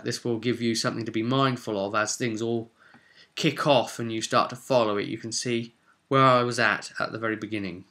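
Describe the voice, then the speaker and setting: A man's voice: on the low side, bright, forceful tone. A British man talking casually and off the cuff as a game master hosting his own vlog, chatty and thinking aloud. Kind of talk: casual unscripted rambling monologue.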